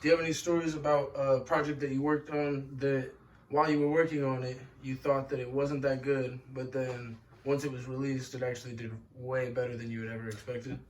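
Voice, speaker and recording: A man's voice: medium pitch, level tone, a little fast, distant mic, a little hiss or hum, rough recording. A man interviewing a music producer, asking plain questions and giving short affirming replies. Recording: voice from off-mic.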